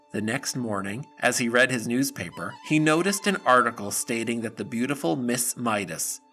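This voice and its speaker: A man narrating a scripted fairy tale for an audio drama in a theatrical, measured storytelling manner. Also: medium pitch, bright, forceful tone.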